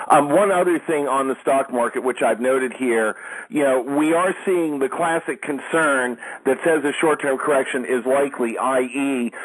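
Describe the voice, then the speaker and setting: A man speaking off the cuff as a market analyst hosting a call, in a measured, matter-of-fact advisory way. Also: mid-range voice, husky, poor audio. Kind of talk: unscripted market commentary.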